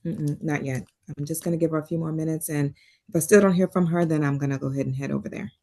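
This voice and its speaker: A woman playing a composed but worried therapist in a dramatic table read, measured and guarded. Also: sits low, fairly even intonation, dark tone. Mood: concerned.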